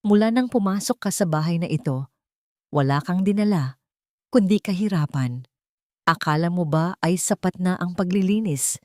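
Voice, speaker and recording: A woman's voice: lowish voice, warm tone, no room sound, studio quality, lightly acted. A woman voicing a cruel, elderly mother-in-law who scolds with contempt in an audio drama. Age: older.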